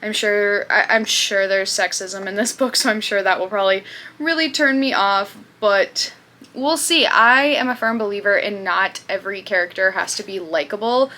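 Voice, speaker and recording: A young woman talks casually to her channel's viewers. Her voice is bright and sits at a middling pitch. She rambles a little, with fillers and asides as she goes. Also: normal speed. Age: 20s. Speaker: booktube host. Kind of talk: casual chat.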